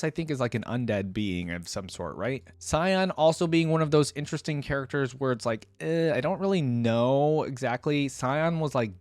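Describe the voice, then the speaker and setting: A man's voice: mid-range voice, dry recording. Male reaction host, mid-range voice, dry recording, casual and unscripted, conversational rambling commentary with asides.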